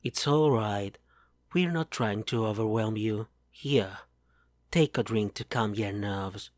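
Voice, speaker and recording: A man's voice: lowish voice, takes it slowly, no echo, clean studio sound. A man voicing a good-natured male dwarf who keeps the peace. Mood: reassuring. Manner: reassuring, plain-spoken.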